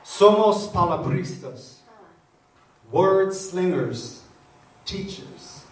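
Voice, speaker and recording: A man's voice: high-pitched, expressive, very slow. A middle-aged man performing spoken word poetry live at a gathering. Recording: very echoey, distant, faint noise.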